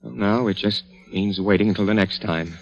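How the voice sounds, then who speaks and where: An actor playing a troubled, anxious husband in a 1940s radio drama. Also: male voice, lowish voice, normal speed, gravelly, faint music behind, poor audio. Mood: despairing.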